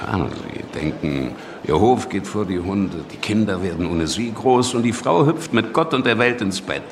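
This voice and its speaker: An actor playing a bluff, worldly revolutionary deputy in a radio play, blunt and earthy in manner. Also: male voice, lowish voice, big pitch swings, moderate pace. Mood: earthy, amused. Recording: clear outdoor street noise behind.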